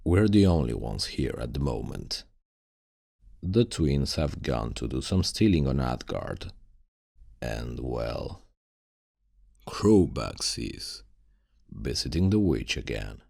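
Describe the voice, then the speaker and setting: Man voicing a very deep, gravelly old guild elder. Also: very animated, darkish tone, no room sound, studio quality, exaggerated voice. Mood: grave, businesslike. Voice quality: gravelly, husky. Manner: measured, formal, fatherly. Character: old grey founding elder of a thieves' guild, calm, commanding. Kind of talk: fantasy ASMR roleplay acting.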